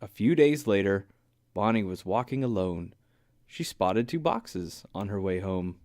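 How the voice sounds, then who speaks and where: A man narrating a rhyming children's story aloud with expressive, deliberate phrasing. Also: on the low side, no room sound, studio quality.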